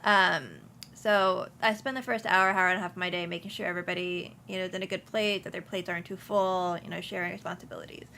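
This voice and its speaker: A woman's voice: on the low side, fairly even intonation, quick pace, vocal fry, few pauses, bright, forceful tone, slight background noise. A young woman from Houston, speaking casually as an interview guest, with many ums and restarts. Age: young adult. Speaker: insurance agency principal, guest.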